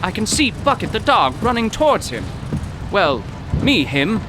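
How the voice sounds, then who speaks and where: Flustered, bookish, high-voiced gentleman. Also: very animated, normal speed, music in the background. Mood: baffled, earnest. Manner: pompous, wordy, flustered, literary diction. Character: nervous, bookish college-educated man, florid and poetic. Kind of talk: audio drama acting in old-time radio manner.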